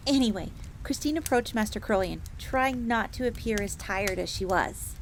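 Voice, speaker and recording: A woman's voice: mid-range voice, animated, normal speed, close to an everyday voice. A woman voicing a polite, eager fox maid assassin who tells her tale in the third person in an audio roleplay. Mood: earnest storytelling. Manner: refers to herself in third person, genteel. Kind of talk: in-character storytelling, audio roleplay. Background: crackling fire ambience.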